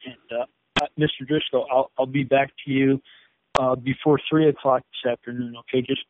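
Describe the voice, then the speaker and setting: A man's voice: mid-range voice, background noise. A man in his fifties with a Chicago accent, stammering and upset as he talks on a phone call. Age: 50s. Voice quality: stammering, repeats words. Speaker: caller. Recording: tinny phone line.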